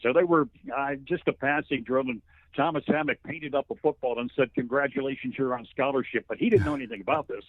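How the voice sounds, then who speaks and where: A man's voice: medium pitch, animated, fast, gravelly, no room sound. An American man, a play-by-play announcer, talking football as an interview guest in a folksy, talkative storytelling way. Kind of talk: conversational sports commentary interview. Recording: thin phone-like line.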